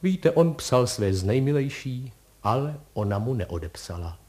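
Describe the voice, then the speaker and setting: A man playing a hollow-voiced, funereal gentleman. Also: mid-range voice, sing-song, natural voice. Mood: mournful. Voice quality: hollow, sepulchral. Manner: slow, mournful, formal.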